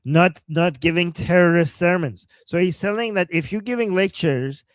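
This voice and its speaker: A man with a Persian accent co-hosting a live stream, reading out chat messages and reacting with animated, joking commentary and frequent laughter. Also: sits high, moderate pace, clear, resonant tone, no echo.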